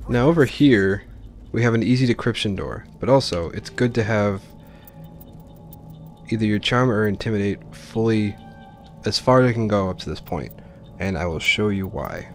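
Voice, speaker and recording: Casting: man, lowish pitch, informal gaming commentator who explains as he plays, music in the background. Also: expressive, normal speed, long pauses. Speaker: let's play host. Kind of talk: casual gameplay commentary.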